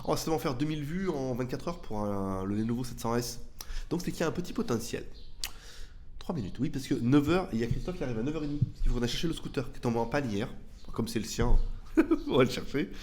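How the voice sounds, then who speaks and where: Male host with a head cold, mid-pitched, rambling casual slangy chat. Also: animated, fast talker, darkish tone, a little hiss or hum. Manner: rambling, loose and chatty, with slang and swearing. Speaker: YouTube tech channel host answering viewer questions.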